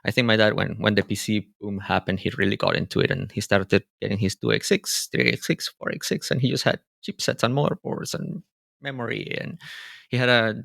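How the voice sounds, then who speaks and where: A man's voice: fairly low pitch, fairly quick, vocal fry, a bit bright, dry recording, clean studio sound. A man in his forties from Venezuela, a guest in a casual interview, talking thoughtfully and rambling with many restarts.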